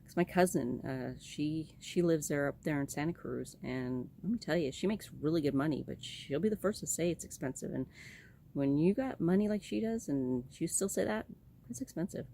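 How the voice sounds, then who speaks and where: A woman's voice: deep voice, animated, moderate pace, gravelly, dark tone, a little hiss or hum. A woman in her late forties from California, chatting casually to her camera about her life, rambling with many ums and restarts. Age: 40s. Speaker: vlogger. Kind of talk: casual personal chat to camera.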